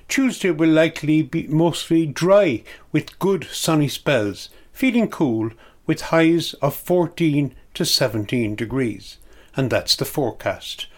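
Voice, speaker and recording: Irish man, slightly high-pitched, reading weather. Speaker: weather forecast reader. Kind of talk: scripted weather forecast reading.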